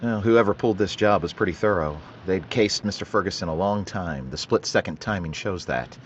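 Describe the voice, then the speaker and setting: An actor playing a police detective in a 1940s-style radio drama, asking questions in a level, matter-of-fact way. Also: male voice, low voice, fairly quick, muffled recording, slight background noise, natural voice. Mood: businesslike. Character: calm male police detective, businesslike.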